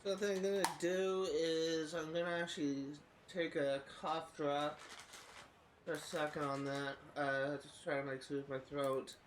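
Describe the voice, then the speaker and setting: Brief: stuffy, scratchy-voiced male game streamer, a bit high, faint hum behind. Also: steady tone, measured pace. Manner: rambling, with frequent uhs and coughs. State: congested head cold, scratchy throat. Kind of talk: casual gameplay commentary.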